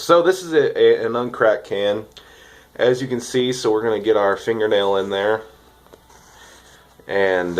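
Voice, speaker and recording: A young man, congested from a cold, chatting casually and cheerfully in a rambling vlog with lots of fillers. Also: on the low side. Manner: rambling, upbeat, filler-heavy. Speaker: vlogger reviewing dip tobacco.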